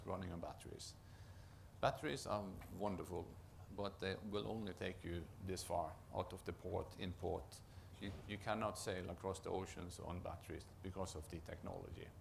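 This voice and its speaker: Deep gravelly Norwegian man presenting. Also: fairly even intonation, a little hiss or hum. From Norway. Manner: halting, often restarts and repeats words. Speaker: project manager presenting at a conference. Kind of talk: conference presentation.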